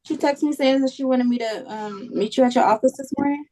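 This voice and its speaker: Woman playing a higher-voiced, direct, concerned friend. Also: a little fast, natural voice. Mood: casual, curious. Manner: casual, direct, expressive. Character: anxious, decisive, down-to-earth female friend. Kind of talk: dramatic script table read.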